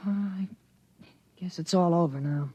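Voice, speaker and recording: A man's voice: high-pitched, takes it slowly, warm tone, husky, dull audio, full character voice. A male performer playing a clever, self-assured thirteen-year-old boy in a 1950s radio drama, earnest and articulate. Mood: confident.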